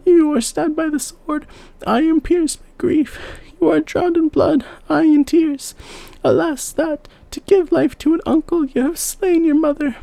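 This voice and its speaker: A man's voice: little pitch movement, unhurried, warm tone, no room sound. A man doing a wailing, grief-stricken queen. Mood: anguished lament. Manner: wailing, lamenting, high falsetto. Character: grief-stricken royal queen mourning her children.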